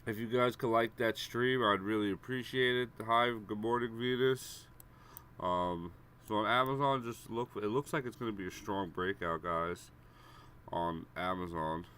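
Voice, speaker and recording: Stuffy low-voiced man calling stock levels. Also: moderate pace, sharp tone, slight background noise. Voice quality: congested, nasal. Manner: casual, repetitive, addresses viewers as guys. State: stuffy head cold. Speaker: stock trading streamer. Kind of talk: live premarket chart walkthrough.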